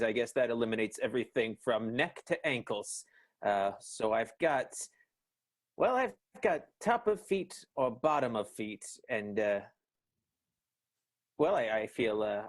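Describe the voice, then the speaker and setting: An actor playing a flustered, evasive boss who stammers and hedges in an improvised radio comedy. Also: male voice, mid-range voice. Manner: halting, stammering, hedging. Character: flustered, evasive male 1920s boss stalling nervously.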